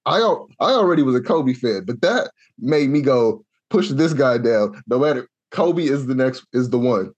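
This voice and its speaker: A young adult man from Kansas telling a long, animated story in a casual podcast chat, acting out the voices he quotes. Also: medium pitch, normal speed, few pauses, breathy edge, muffled recording. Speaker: podcast co-host.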